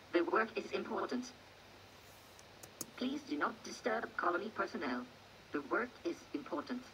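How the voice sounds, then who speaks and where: Bright, deadpan female robot announcer. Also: medium pitch. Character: female info robot, detached public-address announcer of a space colony. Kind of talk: scripted video game announcements. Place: played from a laptop, filmed close by phone. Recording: game audio filmed off laptop speakers, noisy.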